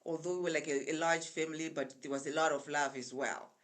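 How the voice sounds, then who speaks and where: Low-voiced Zimbabwean woman in her sixties. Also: steady tone. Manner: chatty, unhurried storyteller with frequent pauses. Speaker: guest. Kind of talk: casual storytelling interview.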